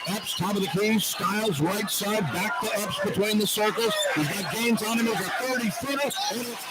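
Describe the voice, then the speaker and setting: High-pitched male basketball announcer, loud background noise. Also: moderate pace. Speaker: play-by-play announcer. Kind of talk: live basketball radio commentary. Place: large indoor arena. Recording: very noisy live arena broadcast. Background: loud arena crowd noise.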